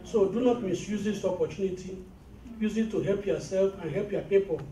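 A man's voice: high-pitched, brisk, warm tone, slight background noise. Earnest Nigerian-born man giving advice. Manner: earnest, advising. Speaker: business owner addressing fellows. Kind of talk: speech at a networking event. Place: hotel meeting room. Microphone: handheld mic. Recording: echoey room, mic from far off.

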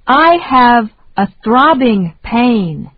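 Woman reading very slowly, dull lo-fi. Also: a little high, big pitch swings, slightly rough. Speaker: English lesson reader. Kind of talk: slow, deliberate phrase reading for repetition.

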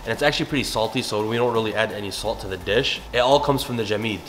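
A man's voice: lowish voice, rapid-fire, noisy background. A young man chatting through a cooking session in a big home kitchen, upbeat and gushing about the food. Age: young adult. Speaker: cooking vlogger. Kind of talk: casual cooking commentary.

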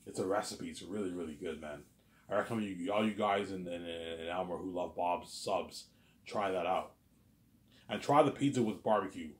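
Thirties guy rambling on and on, kinda low voice, lots of stumbling and repeats. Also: normal speed, roomy sound. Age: 30s. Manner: rambling, repetitive, stumbles over words, filler-heavy. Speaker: vlogger. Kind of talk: casual rambling monologue.

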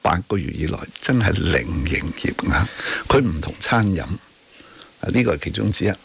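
Man, Hong Kong Cantonese accent, low husky creaky voice, emphatic rhetorical radio host. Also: animated, moderate pace. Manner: rhetorical, emphatic, peppering speech with tag questions and English words. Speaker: radio talk show host. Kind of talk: opinionated current affairs talk. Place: radio studio.

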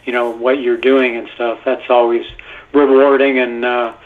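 A man's voice: medium pitch, level tone, moderate pace. Man on a tinny phone line. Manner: rambling, filler-heavy storytelling. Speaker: guest actor. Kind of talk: casual phone-in interview.

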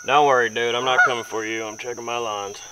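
A man's voice: medium pitch, fairly even intonation, fast talker. A young man talking casually to camera in folksy, informal phrasing, recorded outdoors in a small boat on a river. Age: young adult. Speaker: outdoor fishing vlogger. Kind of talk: casual vlog talk to camera. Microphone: camera mic at close to mid distance. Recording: faint outdoor ambient noise, insects.

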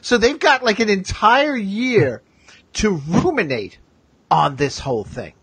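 A man hosting a casual discussion, chatty and thinking out loud as he goes. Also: high-pitched, sing-song, measured pace, breathy edge. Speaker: podcast host.

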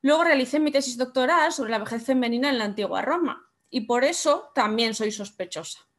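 High-voiced young woman giving an online talk. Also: a little fast. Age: young adult. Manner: conversational, asks rhetorical questions. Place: home room, webcam.

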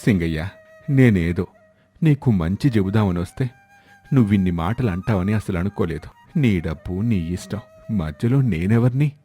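A man playing a sober, well-meaning village friend who advises in a measured way in a moral story. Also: deep voice, animated, dark tone, faint music behind. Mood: hurt. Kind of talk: moral story character acting.

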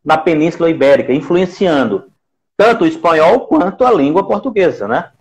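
A man's voice: on the high side, expressive, fairly quick. A man in his forties with a northeastern Brazilian accent from Recife, hosting a conversational live interview in a didactic way, repeating phrases for emphasis. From Pernambuco, Brazil. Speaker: live stream host. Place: indoor room at home. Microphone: earphone mic on a video call.

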